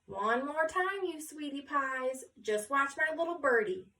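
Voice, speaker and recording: Woman acting out an excitable, high-pitched storybook photographer man, echoey. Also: measured pace, full character voice. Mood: coaxing, begging. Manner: yelling, begging, sweet-talking with baby talk. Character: excitable, pleading, easily flustered male school photographer. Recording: roomy echo, distant mic.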